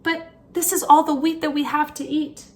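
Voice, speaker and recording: A woman's voice: high voice, level tone, moderate pace, voice pushed up, natural voice. A woman voicing a brave, generous young village girl in a children's storybook. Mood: earnest.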